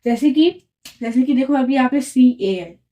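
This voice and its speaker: A woman teaches in a patient, repetitive way, pausing often to check that the listener follows. Her voice sits a little high, yet its tone is mellow and unforced. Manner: patient, repeats and checks in with "right?". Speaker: French teacher. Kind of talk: explaining a lesson at a whiteboard.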